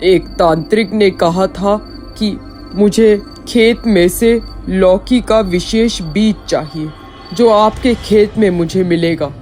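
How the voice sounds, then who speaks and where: A woman voicing a humble, earnest poor village man who speaks respectfully and pleadingly in an animated story. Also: mid-range voice, takes it slowly, faint music behind, pitched below the performer's own voice, natural voice.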